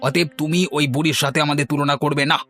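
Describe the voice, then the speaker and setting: A man's voice: fairly high pitch, little pitch movement, fast talker, sharp tone, faint music behind. A man voicing a hard-pressed village farmer husband in a cartoon, grumbling and placating. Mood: protesting. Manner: protesting, placating. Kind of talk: Bengali cartoon dubbing.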